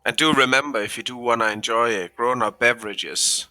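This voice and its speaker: A man's voice: mid-range voice, a little fast, sharp tone, no room sound, poor audio. A man in his thirties playing a tabletop game, chatting and teasing his friends in a joking way. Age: 30s. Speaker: player. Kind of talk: casual chat between tabletop game turns.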